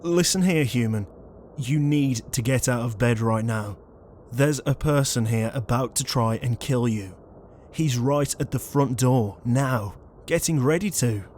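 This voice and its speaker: A man's voice: mid-range voice, measured pace, darkish tone, a little hiss or hum, natural voice. A man playing an exasperated, conscientious ghost in a comedic audio roleplay, flustered, hesitant and pleading. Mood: anxious, flustered. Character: exasperated, conscientious male ghost, murdered shut-in.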